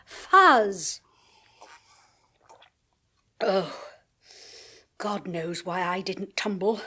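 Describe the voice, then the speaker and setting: An actress playing a sardonic middle-aged medium and former stage performer, with a British accent and a dry, flamboyant way of talking. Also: female voice, mid-range voice, extremely slow, heavily acted. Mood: bitter. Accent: British English. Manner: sharp-tongued, dry, flamboyant. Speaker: clairvoyant. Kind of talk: 1980s radio drama acting.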